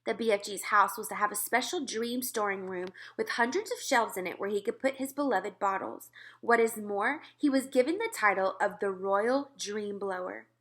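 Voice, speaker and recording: A woman reading a children's book aloud as scripted narration, expressive and clearly phrased. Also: medium pitch, normal speed. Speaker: storybook reader.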